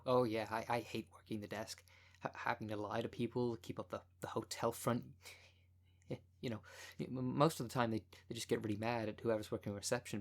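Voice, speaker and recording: A man's voice: mid-range voice, close to an everyday voice. A man playing a timid, flustered hotel receptionist in an audio roleplay, stammering and apologetic. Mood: awkward, sheepish.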